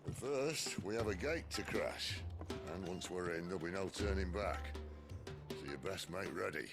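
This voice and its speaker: An actor playing a wry, defiant middle-aged outlaw leader in a video game, with a gravelly, theatrical drawl. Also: male voice, sits low, moderate pace. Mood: jaunty, determined. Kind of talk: video game cutscene acting. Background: music.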